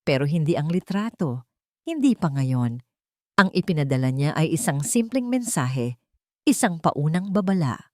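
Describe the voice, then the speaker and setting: Low-voiced Filipino woman narrating drama. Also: sing-song, normal speed, no room sound, studio quality. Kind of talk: scripted dramatic narration of a romance story.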